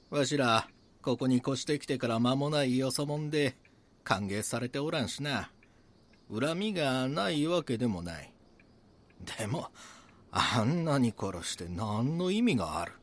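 Man acting brazen Kansai swindler, animated delivery. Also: mid-range voice, measured pace, pauses often, close to an everyday voice. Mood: grumbling. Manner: rough, conspiratorial, boastful. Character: brazen, scheming male small-time insurance swindler. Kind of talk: voice drama acting, true-crime reenactment.

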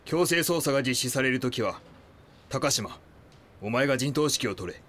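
An actor playing an authoritative police chief, stern and commanding, in an audio drama. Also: male voice, mid-range voice, lively intonation, moderate pace, a bit bright, lightly acted. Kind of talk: Japanese audio drama acting.

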